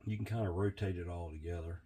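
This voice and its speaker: A hoarse, sniffly man walks through a workbench repair in an unhurried, chatty tutorial style. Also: low-pitched, fairly even intonation, dark tone, slight background noise. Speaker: vintage reel restorer.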